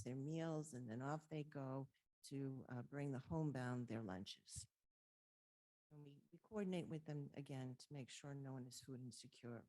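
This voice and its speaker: A soft-spoken woman, presenting her department's work at a city council meeting through a table microphone, with frequent fillers. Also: extremely low pitch, no room sound, poor audio. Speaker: council on aging director presenting budget.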